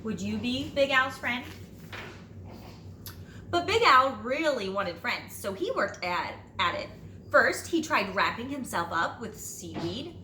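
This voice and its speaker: Lady teacher doing a read-aloud for kids, highish bright voice, really expressive, bit of room echo. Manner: expressive, dramatic storytelling with drawn-out phrases. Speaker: teacher reading to pupils. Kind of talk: animated children's storybook read-aloud. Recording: roomy echo, light background noise.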